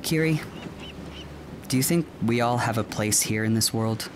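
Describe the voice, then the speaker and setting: A man's voice: mid-range voice, normal speed, background noise, close to an everyday voice. A man playing a pensive, searching human adventurer in a fantasy audio drama.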